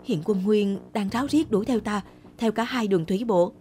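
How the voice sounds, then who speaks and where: Woman acting male army commander, grave, measured, authoritative, cartoon history drama, faint music. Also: mid-range voice, dark tone, natural voice. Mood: grave resolve.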